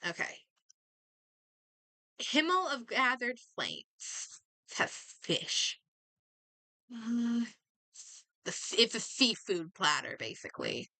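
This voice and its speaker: A woman's voice: on the high side, wildly swooping pitch, takes it slowly, creaky, pauses often, sharp tone, no echo. A woman game streamer chatting casually as she plays, muttering and thinking aloud to herself. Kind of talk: casual livestream chat while playing a game.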